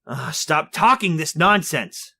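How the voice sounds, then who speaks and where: A man playing an uneasy, formal inventor in a retro radio drama, speaking in a halting, protesting, confessional way. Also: a little high, lively intonation, vocal fry, bright, forceful tone, full character voice. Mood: alarmed, scolding. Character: uneasy, formal male inventor confessing his story to a listener.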